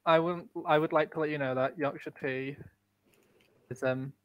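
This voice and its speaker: A man's voice: on the high side, steady tone, moderate pace, no echo, rough recording. A man co-hosting a casual podcast, cracking bawdy, brash jokes. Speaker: podcast co-host.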